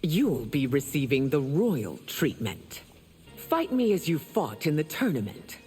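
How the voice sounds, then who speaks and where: A proud empress from a fantasy game. Her regal, stern delivery moves widely in pitch. It carries a long hall reverb with music underneath. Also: sing-song, a little slow, a little mellow, breathy edge, exaggerated voice. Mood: stern, haughty. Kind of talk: video game dramatic acting.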